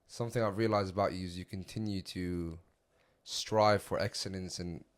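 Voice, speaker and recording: Low-voiced male podcast host. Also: steady tone, normal speed. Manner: warm and courteous, uses Islamic greetings. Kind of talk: scripted intro then casual interviewing.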